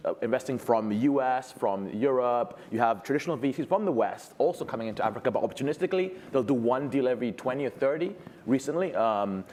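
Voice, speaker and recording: A man, a venture investor on a conference panel, speaking in a measured way with frequent fillers and restarts. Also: mid-range voice, darkish tone. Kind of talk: panel talk.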